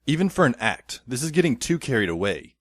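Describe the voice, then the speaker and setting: Lively wry young male narrator, voiced by a man. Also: medium pitch, brisk, no echo, studio quality. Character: wry teenage schoolboy narrating events with dry detachment. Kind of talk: scripted audio drama narration.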